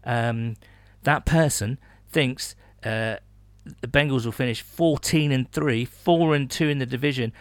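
A man's voice: medium pitch, a little slow, dry recording. Casting: British man, sports podcast host, middle-register voice, informal and digressive, very dry recording. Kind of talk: casual sports podcast chat, reading out listener messages.

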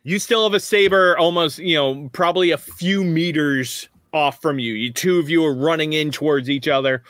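Game master guy, kinda high voice, keeps restarting sentences, dry sound. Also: normal speed, fairly bright tone, no room sound. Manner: rambling, many restarts, laughs often. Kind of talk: narrating a tabletop game.

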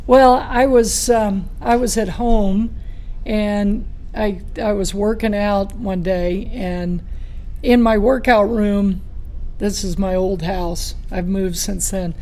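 A middle-aged man with a raspy voice, a former touring golfer telling a story in casual podcast chat, rambling with restarts and fillers. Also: high voice, steady tone, takes it slowly, darkish tone, poor audio. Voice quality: raspy from throat scar tissue.

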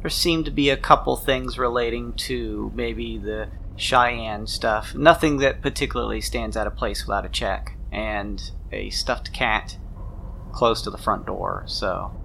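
A man's voice: lowish voice, steady tone, measured pace, vocal fry, pushed and bright, a little hiss or hum. A man narrating a tabletop role-playing game as game master, improvising scenes in the second person.

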